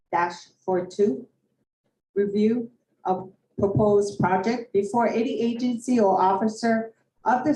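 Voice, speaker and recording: A woman from Hawaii giving public testimony at a planning meeting, reading out statutes in an earnest, steady way. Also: mid-range voice, a little hiss or hum. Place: meeting hall. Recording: roomy hall, distant mic.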